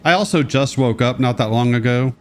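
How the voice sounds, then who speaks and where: A man's voice: mid-range voice, brisk, soft background music. A congested young man giving casual, sarcastic gameplay commentary as a let's play host.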